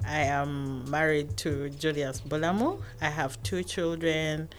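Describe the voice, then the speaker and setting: A woman in her forties from northern Uganda, a guest answering interview questions in a calm, measured way. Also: deep voice, a little hiss or hum. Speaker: podcast guest, academic.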